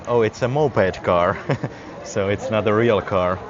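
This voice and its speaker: A man's voice: fairly low pitch, measured pace, muffled recording, background noise. A man with a Finnish accent chatting casually to his viewers on a live stream while walking outdoors through a city parade, chuckling often. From Finland. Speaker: livestream walking vlogger. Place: outdoors on a busy city street during a parade. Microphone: handheld phone.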